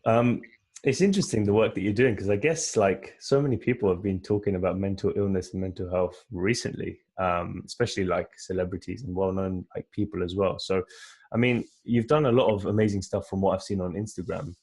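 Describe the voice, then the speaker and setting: Very low, husky male podcast host. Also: steady tone, fairly quick, a little mellow. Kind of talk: conversational interviewing.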